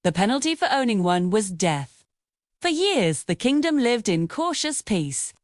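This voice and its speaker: A woman tells a children's fairy tale from a script. Her voice sits fairly high and swings widely in intonation. The sound is close and dry. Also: very animated, measured pace, dry recording. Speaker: storyteller.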